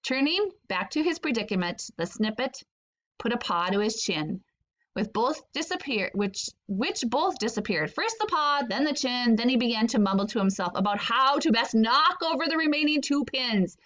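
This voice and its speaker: Bright, high-pitched woman reading a children's story. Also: sing-song, moderate pace, low-quality recording. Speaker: teacher reading aloud to pupils. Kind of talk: expressive children's book read-aloud.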